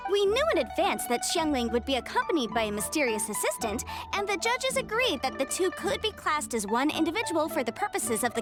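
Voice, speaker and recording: A woman voicing a spirited young female contest host in a video game, announcing over music. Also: very high voice. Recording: music behind the voice.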